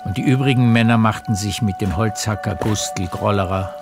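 A man narrating a radio play as a literary storyteller, with measured, deliberate phrasing. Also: lowish voice, over loud music.

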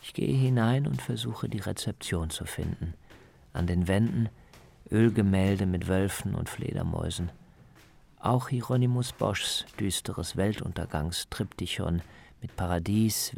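A man's voice: deep voice, unhurried, vocal fry, a little mellow. A man narrating a scripted radio travel feature as its reporter.

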